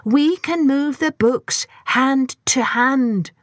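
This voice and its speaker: A woman voicing a kindly, bookish storybook man who is excitable and exclaims with emphasis. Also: sits high, takes it slowly, dry recording, studio quality, voice pushed up. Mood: eager, commanding.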